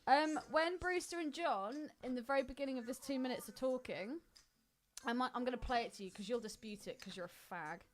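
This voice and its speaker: A woman's voice: high voice, a little fast. A British woman co-hosting a film podcast in casual banter, argumentative, sweary and teasing.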